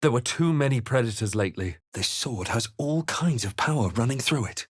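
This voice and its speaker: An actor playing a brooding fantasy adventurer in a game, narrating his quest in a grave, measured, deliberate way. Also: medium pitch, animated, husky, no echo, studio quality. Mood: tense, wary. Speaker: video game player-character voice. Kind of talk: dramatic first-person game narration.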